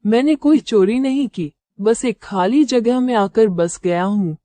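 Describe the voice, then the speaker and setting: Woman acting male crow, cartoon fable, mellow tone, slow delivery, smooth talker, matter-of-fact, dry recording. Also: on the high side, no echo, pitched below the performer's own voice, close to an everyday voice. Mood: sly, defiant. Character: sly, smooth-talking male crow, later friendly. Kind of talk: cartoon moral-story character dialogue.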